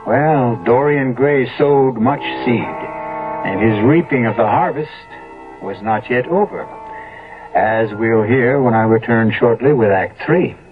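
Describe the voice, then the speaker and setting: A man hosting and narrating a 1970s radio drama in a theatrical, ominous, deliberate scripted style. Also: medium pitch. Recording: muffled old radio broadcast, loud music. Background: loud dramatic music.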